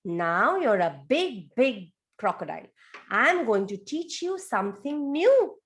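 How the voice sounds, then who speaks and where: A woman voicing a calm, patient father crocodile in a storybook telling. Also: a little high, measured pace.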